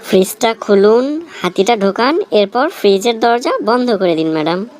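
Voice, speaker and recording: A woman playing a cheeky, literal-minded job candidate who answers politely and deadpan in a comic cartoon. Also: medium pitch, moderate pace, music in the background, lightly acted. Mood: matter-of-fact. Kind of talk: cartoon comedy dialogue.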